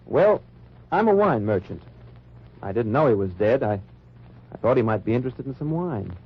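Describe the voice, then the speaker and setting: An actor playing a dry, wry undercover agent who narrates in first person in a 1940s radio drama. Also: male voice, mid-range voice, very animated, normal speed, breathy edge. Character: hard-bitten American undercover agent narrating. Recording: lo-fi old radio transcription.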